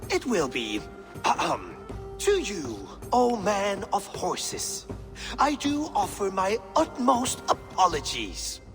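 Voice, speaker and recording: A grandiose, unrepentant Norse skald in a game cutscene. The voice leaps up and down theatrically and takes its time over every flourish. It rings with long hall reverb. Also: male voice, high voice, wildly swooping pitch, slow, a bit bright, exaggerated voice. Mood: florid apology. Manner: grandiloquent, theatrical, hesitating for effect. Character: flamboyant, self-important Norse male poet, unrepentant borrower. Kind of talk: video game cutscene acting. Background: music.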